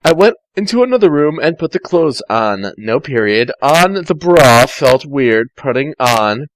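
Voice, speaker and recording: A man's voice: mid-range voice, very animated, a little slow, dry recording, rough recording. A man giving an amateur dramatic story reading, stumbling, correcting himself and dropping in asides. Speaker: fan fiction reader.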